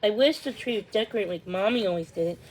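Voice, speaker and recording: A woman's voice: mid-range voice, fast, darkish tone, a little hiss or hum, lightly acted, poor audio. A woman voicing a hopeful, tender-hearted seven-year-old girl in a children's storybook, earnest and childlike. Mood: hopeful wonder.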